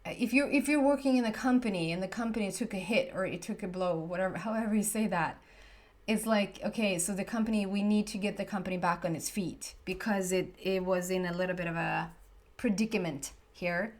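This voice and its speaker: A woman's voice: mid-range voice, brisk. A woman with a Swedish accent, a Swedish native speaker giving a casual tarot reading, chatty and often searching for the right words. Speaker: tarot reader.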